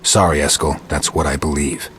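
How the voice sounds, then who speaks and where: A monster hunter with an extremely deep, gravelly voice. He is calm and terse, weighing things reasonably in a restrained game performance, over faint ambient noise. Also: normal speed. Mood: measured, stoic. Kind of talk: video game voice acting.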